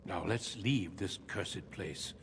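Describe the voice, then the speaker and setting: A man voicing a calm, grave veteran human warrior in a video game, speaking in a measured, formal, courteous way. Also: sits low. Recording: echoey cave reverb, noisy ambience behind.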